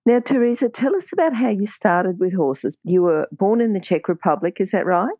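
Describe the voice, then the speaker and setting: A woman hosting a casual interview, chatty and quick to chime in with agreement. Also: mid-range voice, expressive, warm tone, no room sound. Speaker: podcast interview host.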